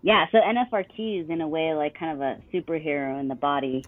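A woman's voice: low-pitched, quick pace, narrow, tinny audio. A woman hosting a radio interview show, upbeat and encouraging with her guest. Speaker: radio host.

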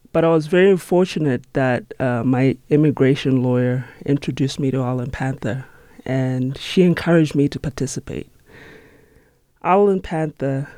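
A man in his thirties from Zimbabwe, talking in a radio studio. He speaks earnestly and reflectively, taking his time. His voice is warm and mellow, and the sound is close and dry. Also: fairly high pitch, no room sound. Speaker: interview guest, nonprofit managing director. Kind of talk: studio interview.

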